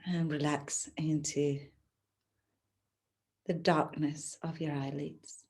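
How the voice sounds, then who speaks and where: A woman teaching yoga nidra, giving gentle, soothing, unhurried guidance through a relaxation practice. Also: deep voice, flat delivery. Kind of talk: guided relaxation instruction.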